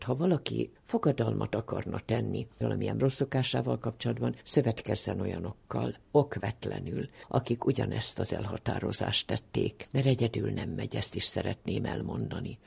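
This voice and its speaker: An older speaker giving a reflective, explanatory talk in an emphatic, didactic way, with a gravelly, husky voice. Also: mid-range voice, a little mellow.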